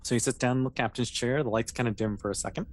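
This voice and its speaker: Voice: medium pitch, steady tone, fast. A game master casually improvising narration for a tabletop sci-fi game, in an easygoing, hesitant way, with everyday phrasing.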